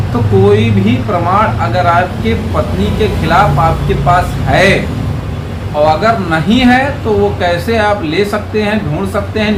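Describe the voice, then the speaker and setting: High-pitched man explaining law, echoey noisy room. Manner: conversational, rhetorical questions, addresses viewers directly. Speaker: legal advisor presenting a video. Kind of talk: informal explainer talk to viewers. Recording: echoey room with clear background noise.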